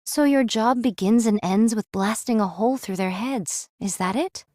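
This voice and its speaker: Stern, formal swordswoman played by an actress, higher-pitched. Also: moderate pace, darkish tone, dry recording, clean studio sound, natural voice. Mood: cold, needling. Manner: formal, archaic, solemn phrasing. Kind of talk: dramatic game voice acting.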